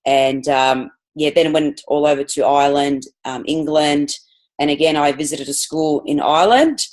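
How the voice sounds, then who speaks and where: A woman's voice: deep voice, moderate pace, darkish tone. An Australian woman, a physical education teacher, chatting as a guest on a podcast, enthusiastic and rambling with lots of restarts. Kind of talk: casual podcast interview.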